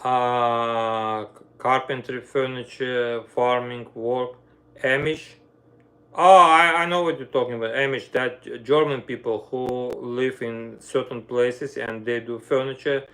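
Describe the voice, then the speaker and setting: A middle-aged man with an Armenian accent, a violinist chatting casually with viewers on a live stream, pausing to search for words in his third language. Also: medium pitch, steady tone, a bit bright, smooth. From Armenia, grew up in the Soviet Union. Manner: searches for words, fillers, broken grammar. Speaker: livestream host, violinist.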